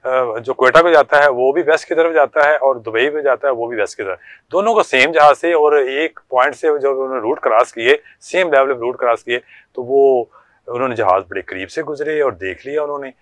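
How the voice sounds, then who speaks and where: A man's voice: mid-range voice, a little fast, fairly bright tone, dry recording. An older man with a Pakistani accent telling stories from his working life as an interview guest, in a conversational way with reported dialogue. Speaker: guest, former air traffic controller.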